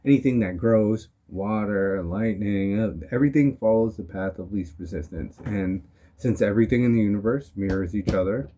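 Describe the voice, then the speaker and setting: A young man talking casually in a rambling motivational vlog, his voice still hoarse from being sick. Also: lowish voice, moderate pace, a little hiss or hum. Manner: relaxed, rambling, frequent "you know" and "um". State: hoarse from recent illness. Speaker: vlogger.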